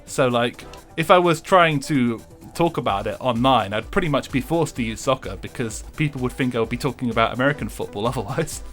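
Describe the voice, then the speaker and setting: A man's voice: mid-range voice, fairly quick, few pauses, fairly bright tone, soft background music. A young English man chatting casually with his viewers while streaming a racing game, swearing freely and laughing often.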